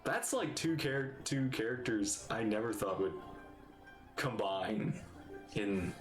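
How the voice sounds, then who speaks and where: A man giving casual, excitable, rambling reaction commentary while playing a game, talking back to the characters. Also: fairly low pitch, takes it slowly, roomy sound, faint music behind.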